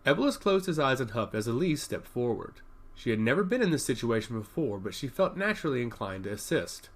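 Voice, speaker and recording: A man's voice: mid-range voice, very animated, fairly quick. A man reading a scripted fantasy story aloud as its narrator.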